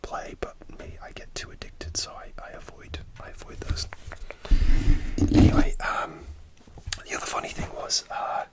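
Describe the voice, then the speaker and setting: A man whispering a rambling, hesitant story as an ASMR creator, speaking right up close to the microphone with frequent restarts. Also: moderate pace.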